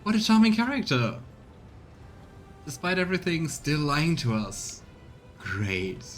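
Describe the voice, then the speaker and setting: A man's voice: a little high, very animated, a little slow, fairly bright tone, faint music behind. A man giving casual let's play commentary and reading game dialogue aloud, with exasperated, sarcastic asides.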